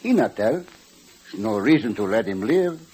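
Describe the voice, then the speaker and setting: A man playing an excitable, jovial, simple Mexican sidekick in a radio Western, with a husky voice, an accent and broken English. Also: fairly low pitch, unhurried, exaggerated voice. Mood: mock menacing. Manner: broken English, refers to himself by name. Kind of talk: 1940s-50s radio Western acting. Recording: old Western radio show recording.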